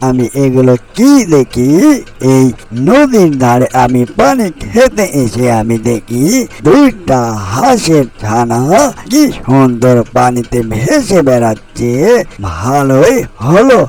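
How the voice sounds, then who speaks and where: A man's voice: medium pitch, big pitch swings, slow pace, darkish tone, full character voice. A man voicing a greedy, sly, hungry fox who schemes aloud in a fairy-tale cartoon. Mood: gleeful scheming.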